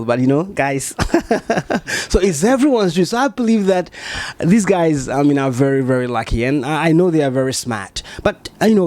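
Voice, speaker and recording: A man's voice: a little high, a little mellow, no echo. A man hosting a casual interview in a dormitory room, chatty and addressing his guests informally. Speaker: interviewer, host. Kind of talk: casual on-camera student interview.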